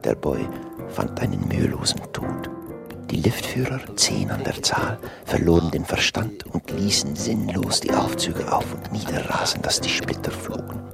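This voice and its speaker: An actor playing an overwrought narrator of a grotesque radio play, theatrical and hushed, with a breathy delivery. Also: male voice, deep voice, big pitch swings, normal speed, heavily acted. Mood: hushed frenzy. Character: overwrought male narrator of a grotesque shopping catastrophe. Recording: loud music behind the voice.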